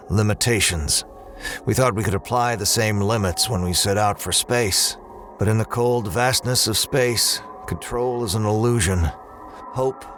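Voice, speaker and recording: Low-voiced, weary space ranger played by an actor. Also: male voice, slight background noise, close to an everyday voice. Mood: brooding monologue. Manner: terse, guarded, grim. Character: weary, cynical lone space ranger surviving on Mars. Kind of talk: sci-fi audio drama acting.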